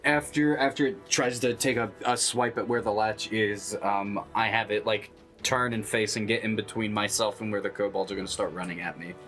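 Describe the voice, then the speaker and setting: A man's voice: on the low side, fairly even intonation, moderate pace, fairly bright tone. A man playing a tabletop game, chatting casually and thinking aloud with some hesitation. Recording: music faintly behind the voice. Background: background music.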